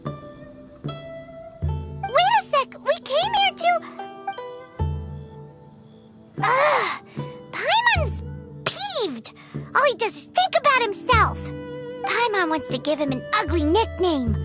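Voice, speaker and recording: A woman voicing a tiny, excitable childlike fairy companion in a video game, squeaky and exclamatory. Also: slow, pauses often, loud music behind the voice, exaggerated voice. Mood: indignant. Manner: speaks of self in third person, exclamatory. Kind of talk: cartoonish video game voice acting.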